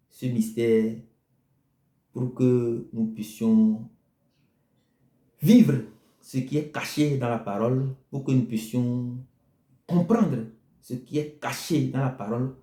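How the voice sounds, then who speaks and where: A man's voice: medium pitch, warm tone. A man delivering a sermon, emphatic and repetitive in his phrasing. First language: Ewe. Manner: slow, emphatic, repeating phrases. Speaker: preacher. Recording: echoey room.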